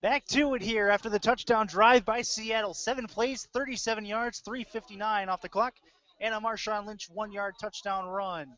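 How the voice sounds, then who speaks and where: A man's voice: sits high, fairly even intonation, moderate pace, fairly bright tone, no room sound. An American man working as a play-by-play announcer, giving live commentary on a football game. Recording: dull, lo-fi webcast audio.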